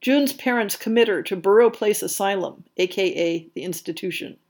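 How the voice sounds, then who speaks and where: A woman's voice: mid-range voice, moderate pace, a little mellow. Woman podcast host reading from a script. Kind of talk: scripted narration, reading book listings and blurbs.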